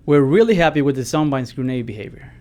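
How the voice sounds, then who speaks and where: A man's voice: medium pitch, expressive, fast, darkish tone. A man giving scripted commentary as a game developer.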